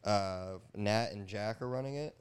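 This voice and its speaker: Comedian guest, man, lowish voice, easygoing and jokey. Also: measured pace, clean tone, no room sound. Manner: joking, laid-back, says "dude" a lot. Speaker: podcast guest and stand-up comedian. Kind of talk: casual podcast banter.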